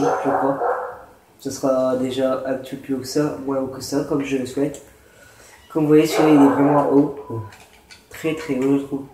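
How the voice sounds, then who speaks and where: Male gaming host with a cold, rambling and hesitant, mellow tone, roomy echoey recording. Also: medium pitch. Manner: rambling, hesitant explaining with many fillers. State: stuffy with a cold and sore throat. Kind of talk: casual gameplay commentary and building tutorial. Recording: roomy echo with faint noise.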